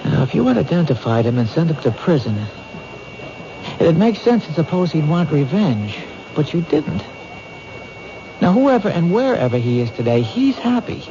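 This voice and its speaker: Protective police detective, fairly high voice, insistent, determined, 1970s radio drama, muffled recording. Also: male voice, very animated, normal speed, background noise. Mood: urgent, deducing. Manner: insistent, reasoning aloud.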